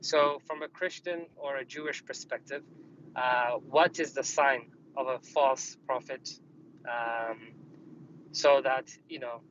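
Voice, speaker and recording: A man's voice: mid-range voice, fairly even intonation, takes it slowly, a bit bright, slight background noise. Hesitant male caller on a dull line. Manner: hesitant, polite, many fillers. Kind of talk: phone-in conversation.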